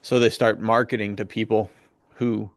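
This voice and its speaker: A man's voice: fairly low pitch, little pitch movement, moderate pace, no room sound, clean studio sound. A man giving his opinions in a loose, conversational way as a panelist on a podcast. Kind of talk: casual opinionated chat.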